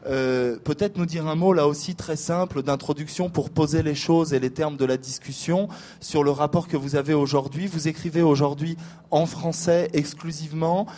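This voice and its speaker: A man with a fairly high voice moderates a literary panel. He introduces each guest at length and then asks his questions. His delivery is measured and dotted with small hesitations. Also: fairly even intonation, warm tone. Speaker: host.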